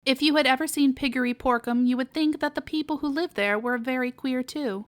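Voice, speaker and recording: A woman's voice: high-pitched, brisk. A woman narrating a children's storybook aloud as a podcast storyteller.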